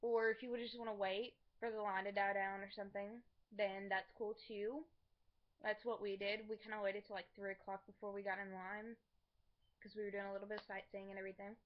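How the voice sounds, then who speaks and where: A woman's voice: medium pitch, flat delivery, a little fast. A young woman from the United States chatting casually as a vlogger, telling a story in a rambling way, on a home video recording. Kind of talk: casual vlog chat to camera. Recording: dull lo-fi home video audio.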